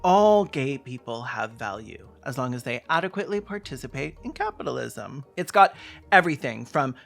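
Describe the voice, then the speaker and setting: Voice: mid-range voice, lively intonation, normal speed, creaky, a bit bright, soft background music. A host giving sarcastic video commentary in a theatrical, snarky and sweary way.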